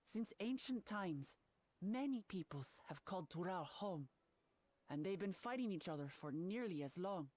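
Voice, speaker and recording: A woman's voice: lowish voice, animated, moderate pace, darkish tone, no room sound. A woman voicing a spirited young princess in a video game, speaking in an earnest, resolute, plain-spoken way. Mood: solemn, explanatory. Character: earnest, spirited young princess seeking her father's throne. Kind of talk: video game cutscene acting.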